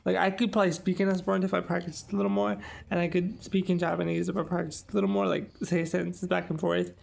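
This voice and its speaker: Young man, twenties, casual vlog chat, rambling with fillers, very gravelly, fairly high voice. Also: flat delivery, quick pace, a little mellow. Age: 20s. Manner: rambling, lots of "like" and "um". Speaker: vlogger.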